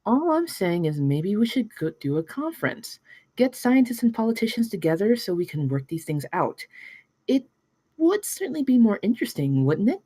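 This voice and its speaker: A woman's voice: mid-range voice, big pitch swings, normal speed, dark tone, slightly rough, dry recording. A woman playing a pragmatic, persuasive researcher in a screenplay table read, matter-of-fact in manner. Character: pragmatic, persuasive female researcher named Peggy, unflappable.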